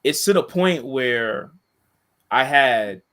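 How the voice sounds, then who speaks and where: A man in casual chat who tells a long story in a monotone voice, rambling with many restarts and asides. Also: mid-range voice, slow. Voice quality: monotone voice, self-described. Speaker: co-host and school staff member.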